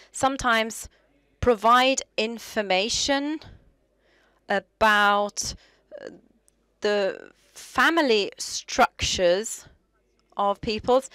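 Female interpreter, measured and pausing, higher pitch, dry booth. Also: fairly bright tone, smooth, no echo. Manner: measured, with frequent pauses. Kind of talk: simultaneous interpretation.

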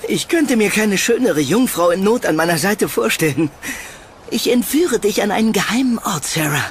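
A haunted young man, played by an actor, shy yet charming, with very animated intonation. He sounds earnest and pleading in a horror audio drama, over faint ambient noise. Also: high voice, normal speed. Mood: charming, playful.

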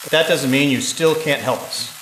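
A man playing a serious government agent in an audio drama, formal, measured and persuasive. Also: mid-range voice, a bit bright, close to an everyday voice. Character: serious male government agent recruiting a spy. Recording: echoey room, faint noise.

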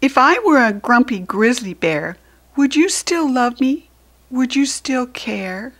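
Woman voicing a high, grumpy little storybook fox child. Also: expressive, takes it slowly, a bit bright, heavily acted. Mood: worried, pleading.

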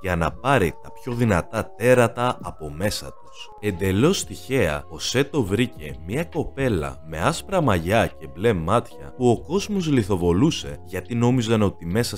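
A man with a fairly low voice narrates a scripted story recap. His delivery is very animated, rising and falling freely, over faint background music. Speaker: host narrator.

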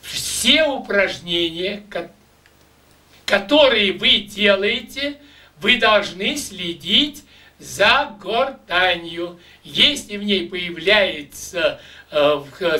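An older man teaching voice exercises to students, speaking emphatically and deliberately in a small room, recorded by a distant camera mic. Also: high voice, bright, forceful tone. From Russia. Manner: emphatic, declamatory, slow and deliberate. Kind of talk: demonstrating exercises and addressing students. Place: small room with patterned curtains. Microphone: camera microphone at a distance.

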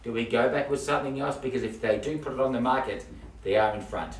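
A middle-aged man calling bids at a live house auction, cracking jokes and repeating figures in a quick patter. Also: medium pitch, slight background noise. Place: living room of a house. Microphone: camera across the room. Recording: roomy, distant camera audio.

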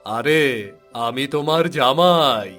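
A man's voice: a little high, slow pace, a bit bright. A man voicing a food-loving son-in-law in a cartoon, playful and insistent. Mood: teasing, insistent. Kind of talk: cartoon voice acting. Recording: faint music behind the voice.

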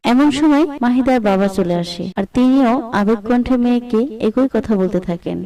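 A woman narrates a scripted story. Her voice sits fairly high and sounds set a little away from the microphone. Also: moderate pace, dark tone, distant mic, faint music behind, rough recording.

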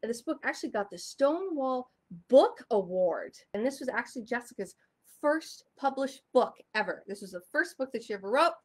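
A woman's voice: fairly high pitch, lively intonation, brisk, a little mellow. A young woman hosting a children's storytime, speaking expressively and enunciating for little listeners. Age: young adult. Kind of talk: animated read-aloud for young children. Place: small furnished room indoors.

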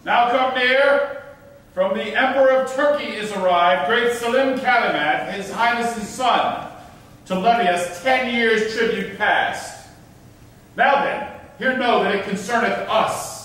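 A man's voice: extremely high pitch, unhurried, fairly bright tone. An actor playing a scornful, imperious governor in a classical verse stage reading, declaiming his commands. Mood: commanding. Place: stage of an auditorium, indoors. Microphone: distant from the audience area. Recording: echoey concert hall, far microphone.

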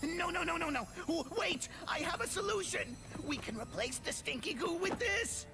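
An actor playing a scheming, excitable mad scientist in a video game, theatrical and flustered, eager to please. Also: male voice, very high voice, moderate pace, gravelly, music in the background, exaggerated voice. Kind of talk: animated video game villain acting.

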